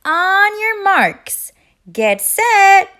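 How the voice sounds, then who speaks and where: A young female duck from a children's storybook, voiced by a woman, bossy and boastful. The voice is very high and lively, leaping about with loud, competitive excitement. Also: very slow, heavily acted. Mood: bossy, excited. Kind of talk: animated storybook character voice.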